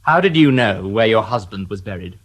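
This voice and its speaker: An actor playing a sharp, sardonic male detective inspector in a radio drama, questioning in a dry, mocking way. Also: lowish voice, lively intonation, normal speed. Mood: probing.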